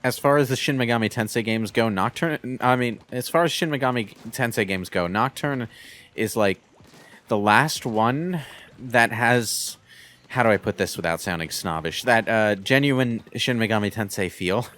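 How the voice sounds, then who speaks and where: A man with a halting, word-repeating delivery, casually giving his opinions on video games as a commentator. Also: medium pitch, fairly bright tone. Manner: halting, repeats words while forming arguments. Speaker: commentator on a gaming show.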